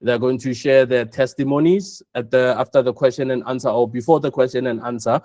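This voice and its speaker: A South African man hosts an online webinar over a video call. His delivery is measured and he repeats key points for emphasis. The sound is dry and close, with a mid-range voice. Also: medium pitch, fairly even intonation, darkish tone, no room sound. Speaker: webinar host, operations chief.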